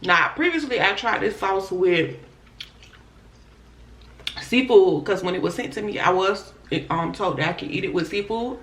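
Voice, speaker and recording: A hoarse woman hosting an eating show, chatting casually and talking to viewers as y'all between bites. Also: mid-range voice, animated, normal speed, pauses often, fairly bright tone, a little hiss or hum. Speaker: mukbang host. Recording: echoey room.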